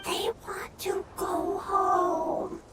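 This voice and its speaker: Small game creature: squeaky, simple, slow fragmentary speech, gentle temper. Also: female voice, level tone, over loud music, exaggerated voice. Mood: plaintive, simple. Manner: halting, fragmentary, childlike speech. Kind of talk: video game creature acting.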